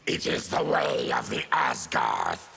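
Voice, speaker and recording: Gravelly, menacing mutant warrior. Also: male voice, extremely low pitch, lively intonation, heavy background noise, heavily acted. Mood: grim, weary. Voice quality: gravelly, growling. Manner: menacing, deliberate. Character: fierce diseased mutant warrior with blades, proud, embittered exile. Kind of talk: fighting game character dialogue.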